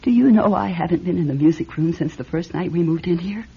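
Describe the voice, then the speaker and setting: An actress playing an anxious, sleepless wealthy widow in a radio drama, fretful and halting, often repeating her words. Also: lowish voice. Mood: edgy, fragile. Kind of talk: old-style radio drama acting. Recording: dull, husky-sounding radio recording with music.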